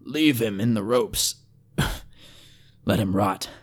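A man voicing a wounded male elf with a hoarse, weak voice and formal, halting, courteous speech in a fantasy audiobook. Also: on the low side. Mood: bitter.

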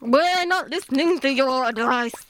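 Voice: sits high, unhurried, a bit bright. A performer voicing a prim, blunt pet goldfish in a comic radio sketch, awkwardly polite in delivery. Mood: blunt, exasperated. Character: Floaty, squeaky dead pet goldfish, prim blunt leader.

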